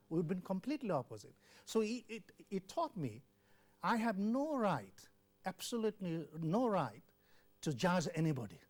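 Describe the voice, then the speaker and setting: An older man from Bangladesh, a former health official, earnestly telling his life story in an interview and acting out remembered dialogue. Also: a little high. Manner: earnest, repeats words, acts out quoted dialogue. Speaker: former WHO country representative.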